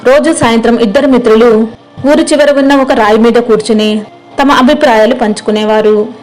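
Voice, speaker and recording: A woman's voice: a little high, fairly even intonation, moderate pace, darkish tone, faint music behind, poor audio. A woman storyteller reading scripted narration for a moral tale.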